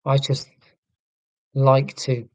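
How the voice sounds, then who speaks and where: A middle-aged man with a neutral southern English accent, talking slowly and deliberately in a casual, reflective monologue. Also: mid-range voice, completely flat delivery, no room sound. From Scotland, raised in England. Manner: slow, deliberate speech with pauses. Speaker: vlogger.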